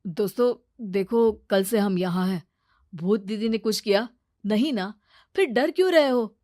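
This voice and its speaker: A woman voicing a calm young man who reasons persuasively and reassures his friends in a cartoon story. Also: mid-range voice, unhurried, dry recording, studio quality, lightly acted. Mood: reassuring. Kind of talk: animated cartoon story acting.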